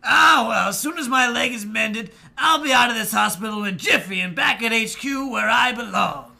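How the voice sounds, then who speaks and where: A man voicing an old male hound general who is hearty, blustering and slipping into confusion, with a raspy, gravelly voice. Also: moderate pace, pushed and bright, full character voice. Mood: gruff bluster. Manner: booming, jovial, drifts into confusion. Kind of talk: fan audio drama reading.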